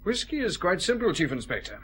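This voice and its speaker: An exacting customs scientist in a radio detective drama. He explains in a precise, pedantic manner, his pitch moving in lively swings. He sounds fussy and measured. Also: soft background music. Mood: lecturing.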